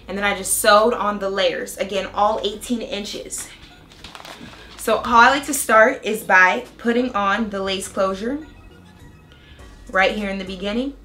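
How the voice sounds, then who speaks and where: Bright, chatty woman with a cold-raspy voice. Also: mid-range voice. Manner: chatty, excited, step-by-step demonstrating. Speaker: beauty vlogger. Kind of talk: casual hair tutorial and review.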